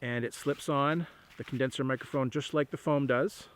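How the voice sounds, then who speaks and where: A man's voice: mid-range voice, steady tone, moderate pace. A plain-spoken man explaining gear in a casual review to camera, recorded outdoors on an on-camera microphone. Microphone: on-camera shotgun microphone.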